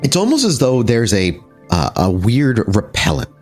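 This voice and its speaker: A man narrating a tabletop horror game as game master, descriptive and halting as he searches for words. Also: mid-range voice, wildly swooping pitch. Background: background music.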